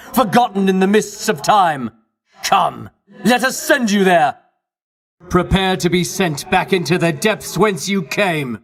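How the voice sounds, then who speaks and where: An actor playing a pompous, self-righteous Greek champion in a video game, declaiming in grandiose archaic phrases with theatrical scorn. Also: male voice, high voice, heavily acted. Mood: battle-ready, indignant. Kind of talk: video game character voice acting.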